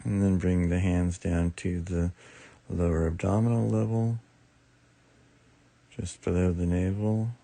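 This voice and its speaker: A man leading a guided meditation as teacher, speaking soothingly in short, unhurried phrases with long pauses. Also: low-pitched, level tone, gravelly, mellow. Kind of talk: guided meditation, improvised soft instruction.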